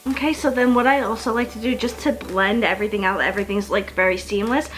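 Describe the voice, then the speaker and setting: A woman's voice: medium pitch, quick pace, poor audio. A woman chatting casually and gushingly as a beauty vlogger, giving an informal makeup tutorial to camera. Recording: music playing behind the voice. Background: background music.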